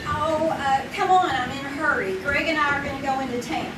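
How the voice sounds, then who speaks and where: A woman's voice: sits high, brisk, lightly acted. An actress playing an eager, gushing teenage girl in love in a live old-time radio play, recorded from a distance on a theater stage. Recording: roomy live theater recording with loud noise.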